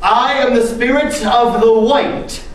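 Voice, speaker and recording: A woman's voice: on the high side, slow. Woman playing a solemn camp spirit, echoey and distant. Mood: mock solemn. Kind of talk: mock-Greek theatrical declamation. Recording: distant, very echoey room with noise.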